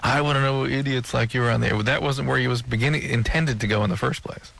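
A man's voice: medium pitch, fairly even intonation, fast talker, gravelly, fairly bright tone. A man co-hosting a radio call-in show, giving casual advice in an analytical, explanatory manner, with faint noise behind him. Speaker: radio call-in co-host, advisor.

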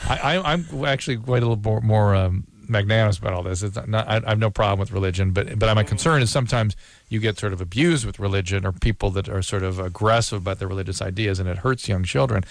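A man hosting a call-in radio show, asking quick, probing clinical questions and often restarting his sentences. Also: on the low side, no room sound. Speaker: radio host.

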